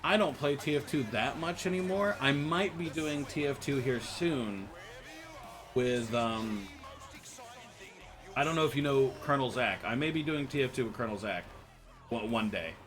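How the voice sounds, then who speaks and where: A man's voice: mid-range voice, moderate pace, fairly bright tone. An American man streaming a game and chatting with viewers, rambling and joking, often restarting his words. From United States. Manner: rambling, joking, frequent stammered restarts and laughs. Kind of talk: casual chat with viewers while gaming.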